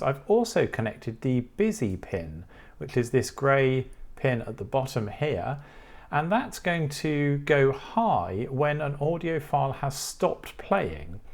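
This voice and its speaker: A man with a British accent presenting a tutorial in a methodical, explanatory way. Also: medium pitch.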